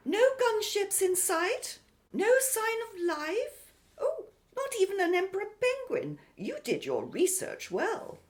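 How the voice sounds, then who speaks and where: A woman's voice: very high-pitched, wildly swooping pitch, measured pace, full character voice. A woman playing a spirited, inquisitive middle-aged British sister in an audio drama, with a theatrical, arch, teasing delivery. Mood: wondering.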